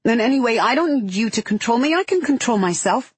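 A woman's voice: sits high, big pitch swings, husky, no room sound, pitched above the performer's own voice, heavily acted. A woman voicing a timid yet defiant young girl in a small, hesitant voice for an audiobook. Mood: frustrated, defiant. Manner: hesitant, halting, groping for words. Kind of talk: audiobook character voice.

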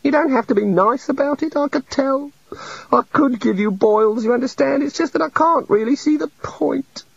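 A man's voice: very high voice, moderate pace, vocal fry, no echo, dull audio, pitched above the performer's own voice. A man voicing a meek, gloomy male island god with a sad, fussy manner, in an audiobook reading.

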